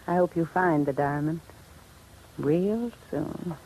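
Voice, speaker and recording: An actress playing a flirtatious, playful femme fatale in a 1950s radio drama, coy and teasing. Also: female voice, sits low, takes it slowly, heavy background noise. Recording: vintage radio with music behind.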